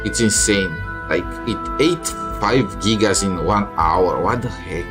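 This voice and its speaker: Guy streaming a game, kinda low voice, casual halting commentary, game music going behind him. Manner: halting, reacting in short bursts. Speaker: game livestreamer. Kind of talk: casual live gameplay commentary. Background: video game music.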